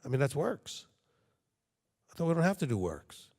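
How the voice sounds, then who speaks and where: A man's voice: medium pitch, sing-song, moderate pace, darkish tone, long reverb tail. A man preaching a sermon to his congregation, talking conversationally and checking in with frequent rhetorical questions. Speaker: congregation leader.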